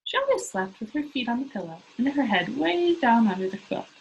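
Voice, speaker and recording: A woman's voice: a little high, big pitch swings, a little fast, creaky, lo-fi sound, slight background noise. A woman reading a children's book aloud as a storyteller, talking to young listeners as she goes. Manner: engaging listeners with simple questions.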